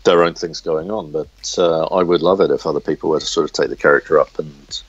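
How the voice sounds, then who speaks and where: A man's voice: very deep voice, steady tone, brisk, husky. A man chatting as an interview guest, a writer who speaks hesitantly and often searches for words, on a lo-fi recording. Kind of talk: casual interview chat.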